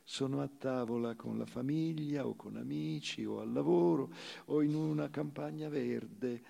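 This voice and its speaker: A man giving a solemn, measured dramatic recitation of literary prose on stage, with deliberate pauses. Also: medium pitch, gravelly, mellow. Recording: live theatre recital with long hall reverb.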